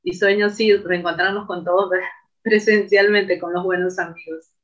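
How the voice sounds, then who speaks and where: A woman's voice: medium pitch, steady tone, normal speed. A woman guest on a radio interview, talking reflectively with many fillers and tag questions. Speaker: interview guest, cultural manager. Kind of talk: casual conversation on radio. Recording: dull lo-fi radio audio.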